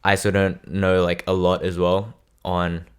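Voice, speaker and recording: Low-voiced young podcast host, late teens, chatty and eager. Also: male voice, flat delivery, measured pace, clean tone. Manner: chatty, eager, frequent affirmations. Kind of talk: casual chat.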